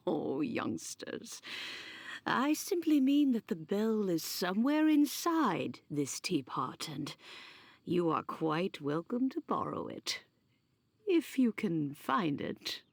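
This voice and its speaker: A woman playing a wistful, kindly old granny in a video game, with an aged voice and a measured, reflective, cryptic way of talking. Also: medium pitch, very animated, dry recording, heavily acted. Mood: kindly, gently amused. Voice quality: creaky, aged voice. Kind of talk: video game character dialogue. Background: game music.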